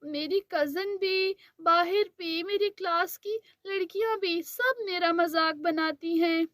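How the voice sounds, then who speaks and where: A woman's voice: extremely high pitch, takes it slowly, a bit bright, no echo, clean studio sound, heavily acted. A woman voicing a teary, pleading young girl. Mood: sad. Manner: tearful, pleading. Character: sobbing kind dark-skinned young girl.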